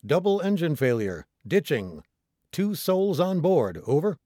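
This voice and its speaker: A man acts out a male castaway pilot in an audiobook, desperate and full of panic. The delivery is urgent and emphatic, crying out with wide swings of pitch. The sound is close and dry. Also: sing-song, measured pace, dark tone, no room sound. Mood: urgent distress.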